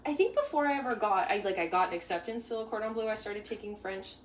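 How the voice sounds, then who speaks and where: A woman's voice: medium pitch, expressive, quick pace. A young woman hosting a live bake-along, talking casually while she stirs a pot, with lots of restarts and side stories. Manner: chatty, rambling, with many restarts and asides. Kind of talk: casual live cooking demo. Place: home kitchen. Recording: echoey kitchen, mic far off, faint hum.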